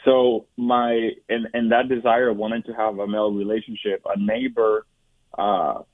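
A man from Puerto Rico in his forties, a guest telling his personal story in an interview, talking haltingly with frequent restarts and fillers. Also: fairly low pitch, little pitch movement, dry recording. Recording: dry, tinny phone-like line.

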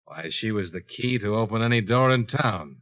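A man's voice: on the low side, animated, lightly acted. Cool hard-boiled 1950s radio detective played by a man. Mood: coolly accusing. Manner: dry, matter-of-fact, deliberate. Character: cool, shrewd male insurance investigator, hard-boiled and unhurried. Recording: dull 1950s radio broadcast recording.

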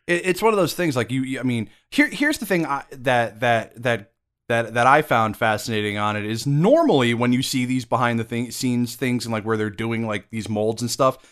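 A man's voice: mid-range voice, expressive, normal speed, fairly bright tone. A man with a stuffed-up nose, co-hosting a casual podcast about a horror film, stumbling through many restarts and fillers. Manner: hesitant, many restarts and likes. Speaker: podcast co-host. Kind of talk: casual movie review chat.

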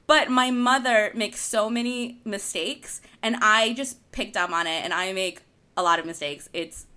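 Bright-voiced laughing young woman. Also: medium pitch, a little slow. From Argentina. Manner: laughs often, trips over words. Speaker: podcast co-host. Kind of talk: casual chat.

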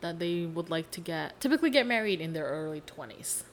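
A woman's voice: on the low side, animated, fairly quick, a little hiss or hum. A young woman co-hosting a podcast in casual conversation, with an informal, playful, self-deprecating way of talking. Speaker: podcast co-host. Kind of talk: casual chat.

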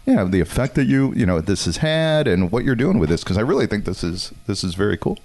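A man's voice: fairly low pitch, very animated, fast, a little mellow. A man co-hosting a podcast interview in a casual, informal way with a jokey manner.

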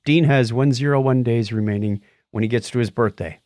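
A man's voice: mid-range voice, brisk, darkish tone, no echo, clean studio sound. A man co-hosting a podcast, mixing casual banter with read-out items and jokey wordplay asides. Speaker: podcast co-host. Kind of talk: casual banter mixed with reading listener mail and history items.

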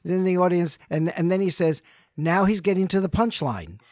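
A man's voice: high-pitched, lively intonation, moderate pace, a little mellow, no echo, clean studio sound. A man co-hosting a podcast, telling stories in a thoughtful, casual way with frequent restarts. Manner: storytelling, thoughtful, many restarts. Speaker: podcast co-host.

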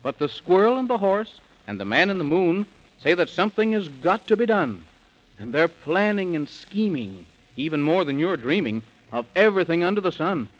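A man's voice: high-pitched, big pitch swings, normal speed. A man narrating a rhyming children's bedtime story in theatrical, sing-song 1930s radio style. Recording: muffled 1930s radio recording.

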